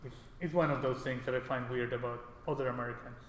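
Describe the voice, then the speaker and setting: A man's voice: mid-range voice, steady tone, quick pace. A man from Mexico giving an informal programming lecture in a classroom, often checking in with short tag questions. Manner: casual, often seeks agreement with tag questions. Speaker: programming instructor. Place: indoor classroom. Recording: echoey, reverberant room with background noise.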